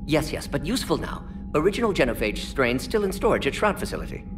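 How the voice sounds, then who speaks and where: A fast, clipped alien scientist whose pitch jumps around as he thinks out loud. He drops words and rattles through his reasoning. Game music plays under him. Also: big pitch swings. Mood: brisk. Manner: clipped, rapid, telegraphic phrasing. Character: brisk male alien scientist, clipped speech. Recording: game audio with music bed.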